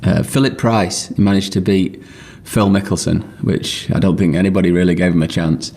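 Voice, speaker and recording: A man's voice: low voice, fairly even intonation, normal speed, darkish tone. A man telling a chatty anecdote in a recorded clip. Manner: chatty, laughing storyteller. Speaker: interviewee in a played clip.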